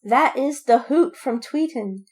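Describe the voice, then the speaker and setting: A woman's voice: high-pitched, animated, takes it slowly, a little mellow, breathy edge. A woman co-hosting a podcast in casual chat, animatedly retelling things and quoting her own reactions. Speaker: podcast co-host. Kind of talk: casual chat about films and fandom.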